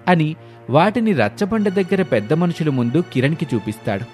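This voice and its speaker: A man's voice: fairly high pitch, very animated, brisk, darkish tone, soft background music. A man narrating a scripted moral story as its storyteller.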